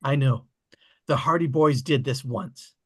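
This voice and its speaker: A man reading the part of an earnest, hopeful boy adventurer at a script table read, in a slow, hushed delivery. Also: medium pitch, lightly acted. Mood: plotting.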